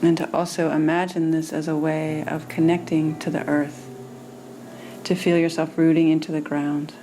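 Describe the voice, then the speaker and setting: A woman meditation teacher leading a guided meditation in a soothing manner, with gentle pauses between phrases. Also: low-pitched, flat delivery, slight background noise.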